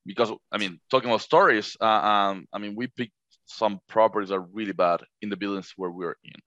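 A man whose first language is Spanish, chatting on a podcast about managing rentals, halting with frequent restarts. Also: lowish voice, fairly even intonation, a bit bright, no echo, highs cut off. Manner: halting, many restarts, laughs easily. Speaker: short-term rental manager. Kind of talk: casual podcast chat.

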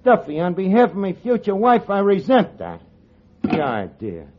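A man's voice: high-pitched, huge pitch swings, moderate pace, heavily acted. An actor playing a cocky, scheming male tavern manager who talks in street slang and mangled grammar, in 1940s radio sitcom style. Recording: 1940s radio broadcast, dull audio, studio audience laughter and hiss. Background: studio audience laughter.

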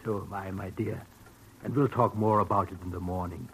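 An actor playing a kindly, fatherly doctor in a vintage radio drama, gentle and reassuring. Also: sits low, steady tone, a little fast, low-quality recording. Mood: soothing, grave. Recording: old radio recording, faint noise.

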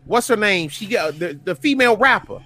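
Fifties guy, high voice, rambly, keeps restarting sentences. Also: animated, fairly quick, bright, forceful tone. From Detroit, USA. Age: 50s. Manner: rambling, searching for words, many restarts. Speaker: podcast co-host. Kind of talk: casual group banter.

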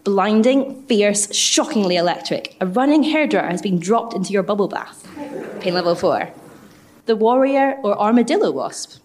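Female reader, mid-range voice with animated swings, dramatic poetic delivery. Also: mid-range voice, moderate pace, a little hiss or hum. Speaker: live event reader. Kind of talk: dramatic reading of short poetic text.

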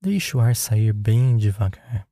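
A man with a Brazilian Portuguese accent leading a guided meditation in a calm, unhurried, instructional way. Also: on the low side, no echo, clean studio sound.

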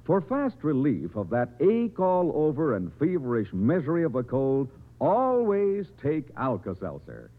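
A man's voice: fairly high pitch, wildly swooping pitch, a little slow. A man reading a commercial as a 1950s radio announcer, emphatic and polished, on an old tinny broadcast. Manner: emphatic, polished sales delivery.

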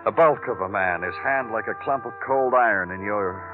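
An actor playing a weary, hard-boiled police detective who questions tersely and narrates in a brooding, poetic way in a radio drama. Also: male voice, fairly low pitch, moderate pace, natural voice. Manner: clipped, sardonic, poetic narration. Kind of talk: 1950s radio noir acting and narration. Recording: old narrow-band radio recording with music.

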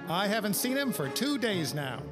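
A fussy, folksy American landlord chatters and grumbles in a high voice that bobs up and down. It is 1940s radio comedy-relief acting with loud music underneath. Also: male voice, big pitch swings, moderate pace. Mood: folksy, grumbling. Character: chatty, grumbling male rooming-house manager.

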